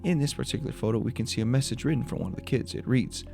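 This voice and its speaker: A man's voice: mid-range voice, animated, racing, creaky, over music. A man giving a scripted breakdown of a horror video as its creator, in voice-over narration.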